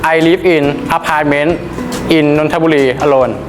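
A young man with a Thai accent and a fairly high voice introduces himself in careful, simple learner English. The room is echoey, and music plays clearly behind him. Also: steady tone, moderate pace. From Thailand. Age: 20s. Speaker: student. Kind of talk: classroom self-introduction.

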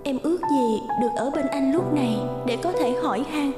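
An actress playing a devoted, gentle and anxious young village woman in a cartoon. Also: sits high, a little fast, close to an everyday voice. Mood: longing. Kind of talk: cartoon dialogue acting. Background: background music.

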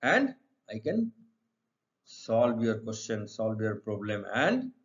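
A man's voice: fairly low pitch, big pitch swings, slow. A middle-aged man with an Indian accent teaching an exam-preparation lesson, reading out questions and explaining the answers didactically, often repeating his phrases. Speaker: English literature teacher. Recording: dull, muffled lo-fi sound.

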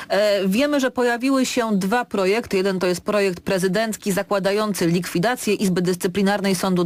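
Polite formal female radio host. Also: a little high. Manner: polite, formal, steering with time cues. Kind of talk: radio interview.

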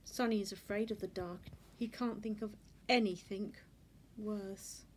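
Slow-paced woman reading a picture book. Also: mid-range voice, fairly even intonation, slight background noise. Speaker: storybook reader. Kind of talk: children's picture book read-aloud. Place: indoors in a furnished room at home.

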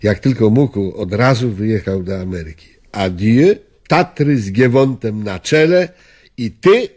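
An actor playing a weary, nostalgic old gentleman in a radio drama, speaking wistfully in halting, declamatory phrases. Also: on the low side, animated. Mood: wry, reminiscing. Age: older.

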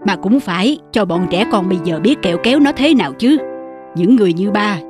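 A man's voice: sits high, expressive, brisk. Kindly old candy-selling grandfather. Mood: gentle. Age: older. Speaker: street candy seller. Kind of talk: animated film dubbing. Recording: music behind the voice.

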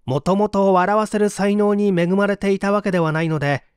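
A man narrating a book as scripted audiobook reading, expressive and clearly articulated. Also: high-pitched, brisk, no room sound, clean studio sound.

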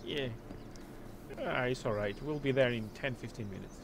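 A man from Portugal, talking over game voice chat in a halting, repetitive but polite way. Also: mid-range voice, vocal fry, noisy background. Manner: repeats words, halting, polite but firm. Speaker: rival clan player.

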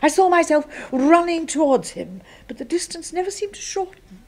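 A woman's voice: very high voice, very animated, normal speed, darkish tone. An actress playing a prim, self-righteous British secretary in a 1970s radio drama, precise and prissy in her speech. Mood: wistful, adoring. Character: prim, bitter, self-righteous spinster secretary, seething with disgust.

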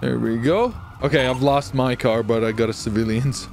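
A man's voice: mid-range voice, normal speed, creaky, darkish tone, slight background noise. A man chattering live through a roleplay game on voice chat, exclaiming and swearing as he reacts aloud to the action. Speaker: gamer, channel host.

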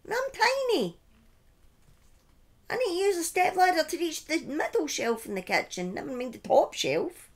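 A middle-aged woman telling a true-crime story informally on a livestream, speaking deliberately with pauses and emphatic repetition, close to a desk microphone. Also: very high voice, expressive. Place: small indoor room at home. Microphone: close desk microphone on a boom arm.

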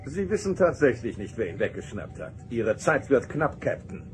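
An actor playing an arrogant, all-powerful alien trickster in a radio drama, sardonic, pompous and taunting. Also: male voice, medium pitch, sing-song, moderate pace, soft background music, lightly acted. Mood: mocking.

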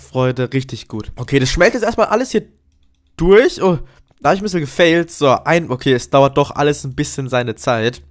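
A man with a cold, giving chatty, upbeat commentary while playing a video game and often addressing his viewers as friends. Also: medium pitch. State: has a cold. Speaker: gaming YouTuber. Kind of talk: casual Let's Play commentary.

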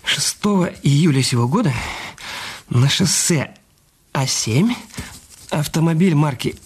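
An actor playing a bitter, cornered husband in a radio drama, evasive and grudgingly compliant, with halting delivery. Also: male voice, fairly high pitch, expressive, breathy edge. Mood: reluctant, dictating. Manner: halting, repeating phrases as he writes.

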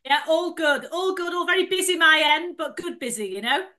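A woman's voice: very high-pitched, lively intonation, moderate pace. A woman in her fifties with a Mancunian accent, chatting as an interview guest about her career. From north of England. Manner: chatty, warm storytelling with frequent "um" and "you know". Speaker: guest, entrepreneur and podcaster. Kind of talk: casual interview chat.

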